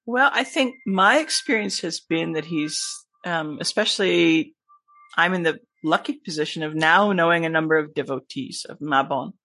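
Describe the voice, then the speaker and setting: A woman speaking as a podcast guest, thoughtful and measured, pausing with ums and correcting herself as she goes. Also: sits low, sharp tone, no room sound. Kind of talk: casual podcast conversation.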